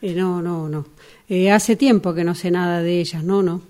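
A woman's voice: lowish voice, fairly even intonation, moderate pace, mellow, natural voice. An Argentine woman acting in a radio drama as an awkward, hesitant woman evasively humouring an old friend. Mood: awkward, polite. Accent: Argentine, Rioplatense.

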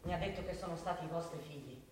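An actress playing an anxious, insistent female school principal in a stage drama, speaking haltingly and with strain. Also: lowish voice, close to an everyday voice. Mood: grave, halting. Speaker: stage actor. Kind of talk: Italian theatre drama, naturalistic dialogue. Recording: roomy, distant stage recording with faint noise.

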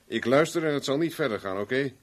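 An actor playing a cool, determined private investigator with a dry, self-assured manner in a 1970s radio play. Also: male voice, medium pitch, expressive, fast talker, lightly acted. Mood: in control. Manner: dry, self-assured, talks to himself while searching. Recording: noisy old radio drama recording.

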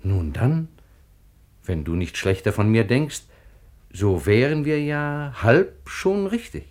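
An actor playing a magnanimous, fatherly sultan with a regal, measured delivery in a 1950s German radio play. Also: medium pitch, sing-song, darkish tone. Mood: warm, probing.

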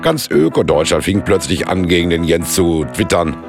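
An actor playing a folksy, chummy storyteller who narrates a radio play in a colloquial, confiding way. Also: male voice, deep voice, normal speed, creaky, music in the background. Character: folksy, chummy male storyteller addressing his pals.

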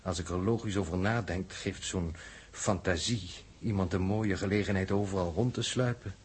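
An actor playing a dry, logic-bound scientist in a 1960s radio drama, speaking in precise, formal, sardonic phrases. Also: male voice, deep voice, fairly even intonation, moderate pace, breathy edge. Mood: sardonic, probing. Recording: muffled, indistinct old radio recording.

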